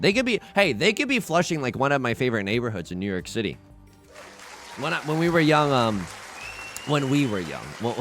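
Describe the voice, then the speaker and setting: A man commentating his own tile game with a lively, swooping delivery, his pitch leaping around as he jokes at his own expense. He drifts between muttered, low-key asides and sudden excited bursts, rambling and restarting his sentences as he thinks aloud. Faint music sits behind him. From United States. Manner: playful, self-mocking banter; swings from hushed asides to excited outbursts. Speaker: let's play host. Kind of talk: casual gameplay commentary.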